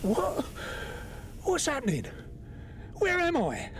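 A man playing a dying elderly grandfather in an audio drama, tender and wry, with soft sighs and halting speech. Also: very high voice, wildly swooping pitch, very noisy, heavily acted. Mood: bewildered, alarmed. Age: older.

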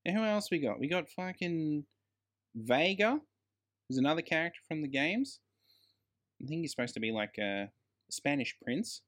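A man's voice: a little high, expressive, normal speed, long pauses, a bit bright. A man co-hosting a casual film review chat, emphatic and slangy, with plenty of swearing. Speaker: podcast co-host.

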